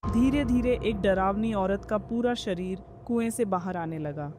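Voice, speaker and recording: A woman's voice: medium pitch, a little slow, music in the background. A woman giving scripted narration of a horror story as its narrator.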